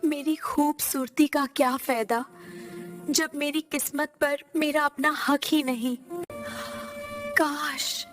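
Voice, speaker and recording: A woman voicing a young girl in a storybook tale, emotional and expressive in her lines. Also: high-pitched, slow pace, long pauses. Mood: wistful. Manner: emotional, wistful to indignant. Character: young girl, a proud princess and a poor free-spirited girl. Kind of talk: storybook drama acting. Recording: soft music behind the voice.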